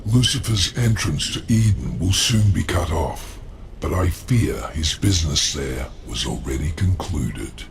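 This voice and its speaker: A deep creaky ominous otherworldly being for a game, slow and portentous, faint noise behind. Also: male voice, expressive, full character voice. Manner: deliberate, portentous. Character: ominous, grave male otherworldly informant. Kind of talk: game cutscene dialogue.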